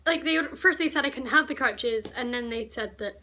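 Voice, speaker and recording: A teenage girl from the UK, slightly croaky from a cough, telling a rambling, indignant storytime vlog. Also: a little high, a little fast, bright, forceful tone. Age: teens. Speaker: vlogger.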